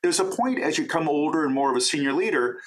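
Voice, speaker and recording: A middle-aged man from Canada, a self-described extreme extrovert, answering interview questions in a talkative, animated way. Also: a little high, fast talker. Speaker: radio host and author interviewed as guest.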